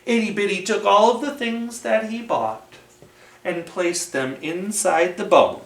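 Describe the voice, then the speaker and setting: A man reading a storybook aloud to children as a storytime host, expressive, with dramatic pauses and emphasis. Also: high voice, vocal fry. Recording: roomy, echoing room sound.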